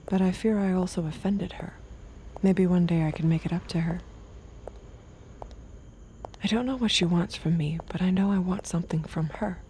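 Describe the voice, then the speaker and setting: An actress playing an enigmatic, detached young escort in an audio drama, soft and measured, with a naive, dangerous edge. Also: female voice, on the low side, vocal fry, a little hiss or hum, rough recording. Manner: soft, measured, sparse short replies. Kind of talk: first-person audio drama narration and dialogue.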